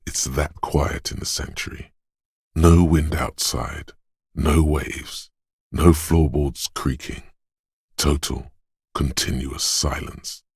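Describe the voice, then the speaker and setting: A man narrating a video game as a grave inner voice of the senses, measured, deliberate and ominous. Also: a bass voice, fairly even intonation, breathy edge, dry recording, studio quality, full character voice. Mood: grave, hushed. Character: solemn male inner voice of heightened senses, ominous and watchful. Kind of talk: atmospheric second-person scripted narration.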